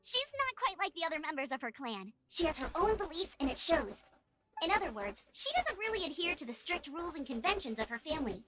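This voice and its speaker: A woman's voice: high voice, big pitch swings, fairly quick. A woman playing a perky, encouraging young scout knight in a video game, speaking in an upbeat, eager, friendly way. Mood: friendly explaining. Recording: loud game music behind.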